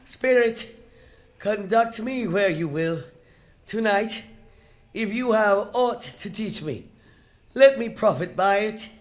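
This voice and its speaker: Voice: medium pitch, lively intonation, takes it slowly, pauses often. An actor playing a humbled, anxious old miser in a live radio drama, speaking with earnest deliberation.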